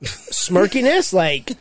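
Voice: medium pitch, creaky, husky, no echo, clean studio sound. A podcast host, an adult past thirty, who banters and questions casually, teasing bluntly and swearing freely. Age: 30s or older.

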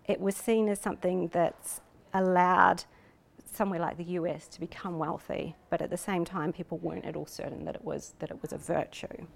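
Low, creaky-voiced New Zealand woman. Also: steady tone, a little fast. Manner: hesitant, thoughtful, with frequent you-knows. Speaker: interviewed researcher and author. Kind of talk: reflective interview talk.

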